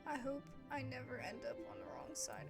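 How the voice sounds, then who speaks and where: A woman giving brief, quiet, casual reactions while playing through a video game story. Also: high-pitched, sing-song, brisk. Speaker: gamer reacting to story dialogue. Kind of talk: casual playthrough commentary. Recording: game music clearly behind the voice. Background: video game music.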